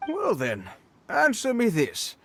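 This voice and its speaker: A man's voice: extremely high pitch, wildly swooping pitch, slow, fairly bright tone, soft background music, full character voice. A man playing an earnest, devout scholar in a video game, speaking formally and didactically, ready to challenge others. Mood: challenging. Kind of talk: video game character acting.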